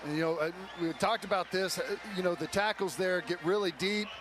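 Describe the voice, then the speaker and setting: A man's voice: high-pitched, brisk. An American man giving conversational, explanatory color analysis during a live football radio broadcast. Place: stadium broadcast booth. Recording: clear stadium noise behind.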